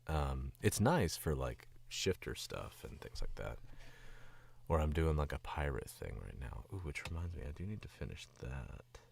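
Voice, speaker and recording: A man's voice: a bass voice, sing-song, moderate pace, vocal fry, a little mellow, slightly rough. A sick man from the Southern US with a Southern accent, chatting casually and rambling on a stream as a voice actor. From Southern United States. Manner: rambling and distracted, chuckling often. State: sick, voice dropped lower.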